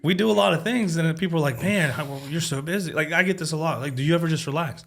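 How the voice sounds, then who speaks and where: A man's voice: fairly high pitch, rapid-fire, vocal fry, a bit bright. A man hosting a podcast, talking in an easygoing, agreeable way. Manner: easygoing, agreeable, casual phrasing. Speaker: podcast host. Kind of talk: casual conversational interview.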